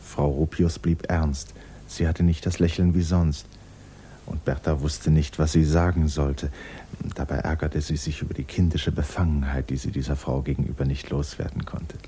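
A man narrating a 1960s literary radio play in a measured storytelling manner. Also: a bass voice, little pitch movement, mellow, a little hiss or hum.

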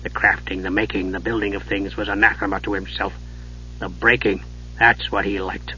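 A man voicing a gruff, weary old male dwarf, speaking low and hushed, in a dull lo-fi recording. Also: little pitch movement, moderate pace, a little hiss or hum. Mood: weary, brooding.

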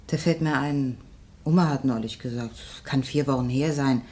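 An actress playing a forthright, wary young granddaughter in a radio crime drama, speaking directly. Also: female voice, extremely low pitch, moderate pace, a little mellow. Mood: questioning. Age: young adult. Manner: direct, indignant when pressed.

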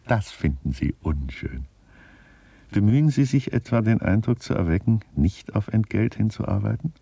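An actor playing a wry, brazen author who narrates a literary radio play and digresses to address the listeners provocatively. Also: male voice, lowish voice, lively intonation, moderate pace, vocal fry, dark tone, husky, dry recording, full character voice. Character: wry, brazen author openly after money.

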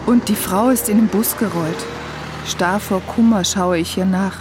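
A woman's voice: medium pitch, unhurried, noisy background. A woman reading literary first-person narration for a radio feature, recorded as a close voice-over. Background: music.

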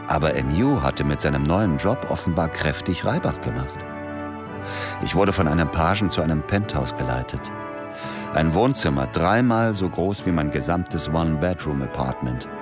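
An actor playing a reflective man who narrates and talks with old comrades in a German radio drama, dry and understated. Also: a bass voice.

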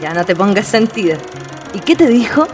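A woman's voice: mid-range voice, very animated, normal speed, big-room sound, faint music behind, lightly acted. A woman acting a playful, teasing young friend in an amateur radio play, with a Chilean accent and a singsong delivery.

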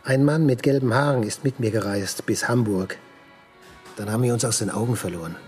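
A man's voice: mid-range voice, brisk, a little mellow, faint music behind, lightly acted. Wry, laconic, hard-bitten man played by an actor. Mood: dryly ironic. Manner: laconic, dryly ironic. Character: wry, hard-bitten German printer and former camp prisoner. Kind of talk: radio crime drama acting.

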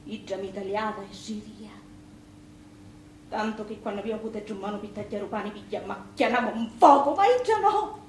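An actress playing a bitter, battered Sicilian widow, speaking with a Sicilian accent in an impassioned theatrical monologue that moves between murmur and outcry. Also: female voice, mid-range voice, brisk, close to an everyday voice. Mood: anguished, resentful. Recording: roomy theatre sound with background noise.

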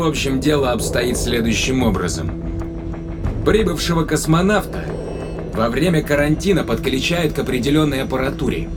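Matter-of-fact astronaut, acted by a man, mid-pitched, measured and explanatory, sci-fi audio drama with loud music underneath. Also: close to an everyday voice. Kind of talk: science fiction audio drama acting.

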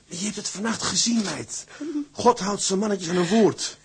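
An actor playing a troubled, stubborn ship's mate and husband in a radio drama, earnest and halting. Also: male voice, high voice, background noise. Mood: stubborn, defensive.

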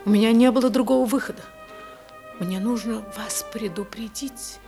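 High-voiced anxious society wife, played by a woman. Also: normal speed, music in the background, close to an everyday voice. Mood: flustered, pleading. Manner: earnest, emotional, theatrical. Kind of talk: radio play acting.

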